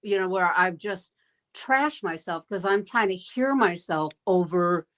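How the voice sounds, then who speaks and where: Woman singer-drummer with a lowish voice, emphatic and a bit pushy, chatting casually over a video call. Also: animated, a little slow. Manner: emphatic, self-described loud and pushy. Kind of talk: casual roundtable chat.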